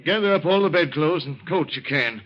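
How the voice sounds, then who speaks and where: A man's voice: a little high, expressive, a little fast, natural voice. An American actor playing a folksy, worried, helpful country man in an old-time radio drama, plain-spoken. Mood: brisk. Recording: muffled, noisy vintage radio recording.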